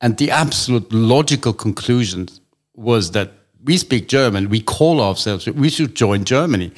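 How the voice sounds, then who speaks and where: A man's voice: mid-range voice, lively intonation, moderate pace. Austrian-born man musing at length. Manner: expansive, thinks aloud with many restarts. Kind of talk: casual discussion.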